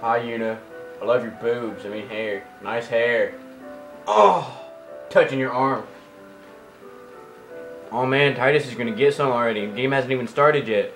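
A man's voice: medium pitch, unhurried, pauses often, fairly bright tone, low-quality recording. A man doing casual, joking gameplay commentary in a hyper, goofy way as a let's play host. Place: room at home, beside a TV playing the game. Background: video game music from a TV.

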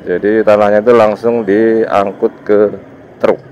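A man's voice: on the low side, little pitch movement, a little slow, a little mellow. A man with an East Javanese accent, congested with a cold, giving casual riding commentary in short, loose, informal phrases. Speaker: motovlogger. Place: outdoors, riding a motorbike on village roads. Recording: dull muffled sound, motorbike ride noise. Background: vehicle and road noise.

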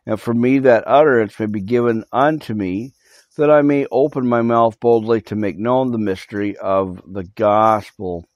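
A man with a somewhat low voice leads a live Bible study from a small home studio, recorded on his cell phone. He talks in halting bursts full of fillers and restarts, then presses listeners earnestly to repent. The sound is dry and close, with little room echo. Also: darkish tone, dull audio. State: recovering from losing his voice. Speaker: pastor. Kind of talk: live podcast Bible study and prayer update.

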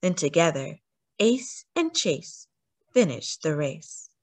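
A woman reading aloud to children as a storyteller, with an animated, expressive delivery that acts out the story. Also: sits low, slow pace. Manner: animated, acting out sighs and cheers.

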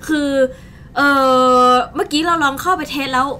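A young woman with a high-pitched voice gives casual gameplay commentary as a channel host. She talks in a chatty, informal way as she plays. There is clear noise in the recording. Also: normal speed, bright, forceful tone. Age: young adult. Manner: chatty and informal, exclaiming in play. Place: indoor streaming setup at a desk.

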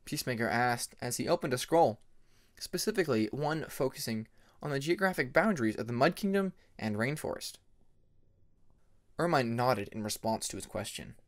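A man's voice: mid-range voice, animated, moderate pace. A man reading a story aloud as narrator of an amateur audiobook.

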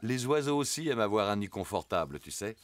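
A man's voice: fairly low pitch, sing-song, quick pace. A man voicing a kindly, patient papa bear in a preschool cartoon, explaining things simply. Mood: gentle, amused. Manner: gentle, explaining simply to a small child. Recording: studio voice under loud guitar music.

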